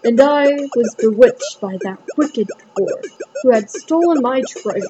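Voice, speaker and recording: A woman voicing an enchanted prince, once a bear, in a storybook reading, calm and reassuring. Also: a little high, measured pace, muffled recording, music in the background.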